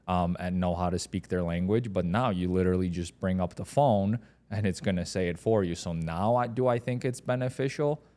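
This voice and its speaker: A man's voice: deep voice, brisk, warm tone. A man from Poland, Polish his first language, co-hosting a podcast in hesitant casual chat, full of "like" and "right". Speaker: podcast co-host.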